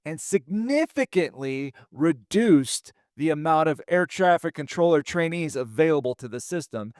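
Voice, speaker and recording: A man, a former air traffic controller explaining things in a steady, plainspoken teaching tone. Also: on the high side, normal speed, dry recording, studio quality. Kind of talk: explainer talk to camera.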